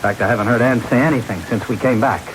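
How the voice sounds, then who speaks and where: An American man acting an intense, insistent young climber in love, with dramatic, halting old-time radio delivery. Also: mid-range voice, fairly even intonation, close to an everyday voice. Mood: brooding, remorseful. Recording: old radio broadcast, loud rain-like noise.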